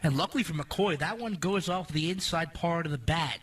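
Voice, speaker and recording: Male color commentator for radio baseball, fairly high voice, conversational analysis, a little off the mic. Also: moderate pace, fairly bright tone, slightly rough, recorded from a distance. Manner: conversational, explanatory.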